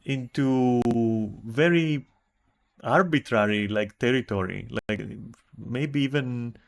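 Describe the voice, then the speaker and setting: A man's voice: mid-range voice, slow pace. A man from Greece with a Greek accent, streaming and reacting to a text, thinking aloud in a puzzled way with many restarts.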